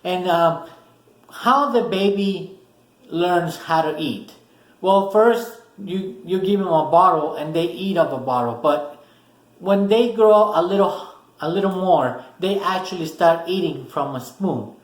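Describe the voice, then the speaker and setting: Spanish-accented man explaining slowly, echoey room. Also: high-pitched. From Spanish-speaking country. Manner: explains slowly in short phrases, repeats himself. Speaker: self-help video host. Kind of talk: explanatory talk to camera.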